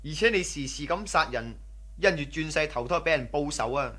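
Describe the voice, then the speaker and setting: An actor playing a cautious, superstitious bandit in a radio drama, earnest and nagging. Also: male voice, a little high, expressive, a little fast, fairly bright tone. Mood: wary, reluctant. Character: cautious, superstitious male bandit, loyal underling. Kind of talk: Cantonese radio drama acting.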